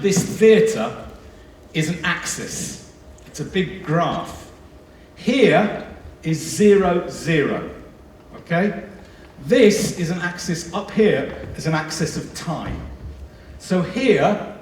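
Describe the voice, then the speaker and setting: Slow, demonstrative man in an echoey theatre. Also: a little high, very animated. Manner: demonstrative, walks the stage explaining. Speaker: workshop speaker. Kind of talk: explanatory talk to an audience. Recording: echoey theatre from far off, faint noise.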